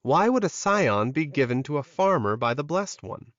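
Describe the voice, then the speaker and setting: A man's voice: fairly high pitch, sing-song, normal speed, fairly bright tone, no echo, muffled recording. A man reading scripted fantasy fiction as a dramatic audiobook narrator.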